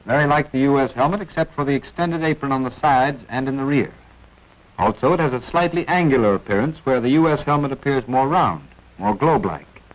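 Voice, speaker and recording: An American man narrating a 1940s military training film in an instructive, wry manner with deliberate emphasis. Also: medium pitch, dull audio. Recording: band-limited 1940s film soundtrack.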